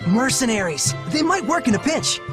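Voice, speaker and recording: A woman playing an earnest, kindly, easygoing young hero in an animated game, casual and modest. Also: on the high side, moderate pace, over music. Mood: thoughtful. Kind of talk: animated game cutscene acting.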